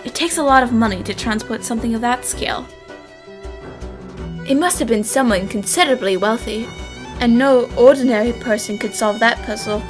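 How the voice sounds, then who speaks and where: High-voiced eager young detective played by a woman. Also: normal speed, music in the background, lightly acted. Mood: thoughtful. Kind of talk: animated mystery fan dub.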